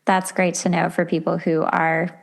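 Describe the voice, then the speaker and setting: A woman's voice: on the low side, level tone, moderate pace, gravelly. A woman hosting a podcast, talking in a casual, chatty and informal way and often acting out her own thoughts. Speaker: podcast host. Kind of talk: casual interview chat.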